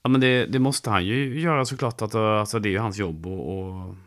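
A man's voice: fairly low pitch, fairly quick. A man hosting a fan podcast, asking questions and chatting casually with plenty of fillers. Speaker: podcast host. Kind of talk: casual chat and interview.

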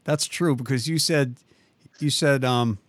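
A talkative man with a Boston accent hosts the conversation and drifts through stories with false starts and side remarks. His voice sits at an ordinary middle pitch. It is recorded close and dry, with no room sound around it. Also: a little slow, dry recording. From metropolitan Boston. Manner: talkative, lots of restarts and trailing asides. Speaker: podcast host. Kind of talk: rambling conversational storytelling.

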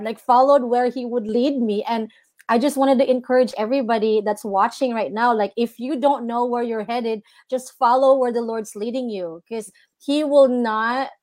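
A woman's voice: a little high, no room sound. A woman, sharing an earnest personal testimony as a guest minister, full of "like" and "you know". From Philippines.

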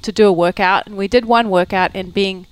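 A woman talks about her sporting past as a guest, in a relaxed, halting way full of fillers and lists that trail off. Her voice is smooth and resonant, at a middle pitch. The sound is dry and close. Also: no echo, poor audio. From New Zealand. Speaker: guest, fitness coach. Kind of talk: casual interview chat. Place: home.

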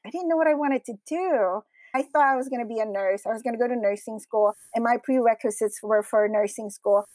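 High-voiced woman telling her story. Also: fairly quick, a little mellow, no room sound. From Philippines. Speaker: interview guest, women's community founder. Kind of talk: personal storytelling interview answers.

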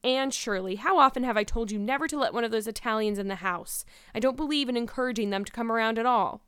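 A woman voicing a stern, exasperated older guardian in a storybook, with a brisk, sarcastic, scolding manner. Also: on the high side, fairly bright tone, smooth, dry recording, clean studio sound, natural voice. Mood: severe.

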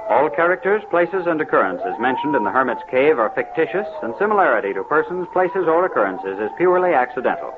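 A man performing as a brisk, formal 1930s radio announcer reading out a disclaimer. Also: on the high side, very animated. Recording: very noisy, tinny old radio recording.

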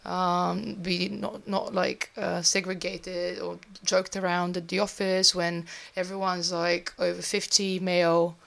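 Flat, gravelly woman, non-native English. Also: lowish voice. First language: not English. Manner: measured, with many "uh" and "um". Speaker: guest, company CEO. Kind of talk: conversational interview.

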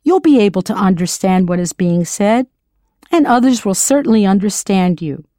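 An English-speaking woman leads a scripted language lesson in a clear, encouraging voice at an ordinary middle pitch. She slows down to model words carefully. The sound is dry and close, with no room around it. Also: expressive, mellow, no echo. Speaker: language teacher. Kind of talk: scripted audio lesson narration.

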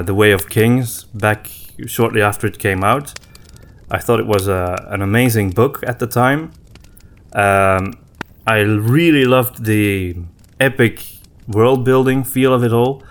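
Low-voiced man chatting on a podcast. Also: steady tone, slow. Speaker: podcast co-host. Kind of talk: casual conversational discussion.

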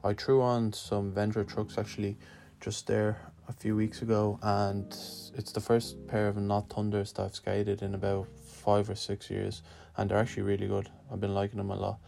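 Low gravelly young man from Lithuania. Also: monotone, moderate pace, few pauses, mellow, soft background music. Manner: laid-back, swearing, slangy. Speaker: guest skater answering a questionnaire. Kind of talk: casual spoken answers.

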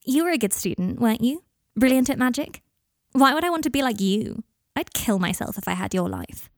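A woman's voice: a little high, very animated, fairly quick, dry recording, clean studio sound, pitched above the performer's own voice. A woman voicing a cruel, sullen teenage girl, cutting and mocking, in audiobook dialogue. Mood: contemptuous.

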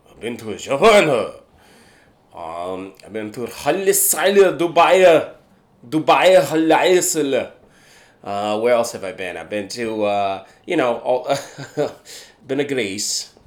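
A young adult man from Canada, a street performer and escape artist, talking about his act in a relaxed interview and driving his points home with emphatic repeats. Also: medium pitch, slow.

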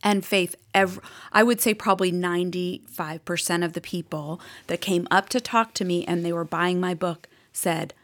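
A woman with a Boston accent, a guest on a podcast, talking earnestly and openly, laughing easily and sometimes dropping into a soft whisper. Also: fairly low pitch, fairly even intonation, moderate pace, hardly any pauses. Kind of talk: casual interview chat.

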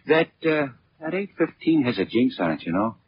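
Hotel man, male actor, defensive and offhand, very animated intonation; 1940s radio play with faint noise. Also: mid-range voice, moderate pace, natural voice. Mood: grudging. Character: wary, grumbling male hotel man, wryly talkative. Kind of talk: 1940s radio drama acting. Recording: muffled, noisy old radio recording.

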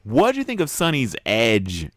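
A man co-hosting a casual review show, chatting in an animated manner. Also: mid-range voice, normal speed, no room sound, studio quality. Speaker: podcast co-host reviewing TV shows.

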